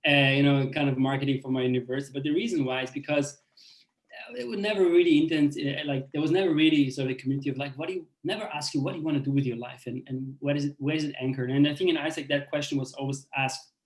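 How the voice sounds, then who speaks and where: A man from Austria tells his personal story to an audience. His pitch sits in an everyday middle range. His talk is halting and full of fillers and restarts, and the room gives it a noticeable echo. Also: steady tone. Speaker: speaker on a panel. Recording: roomy echo.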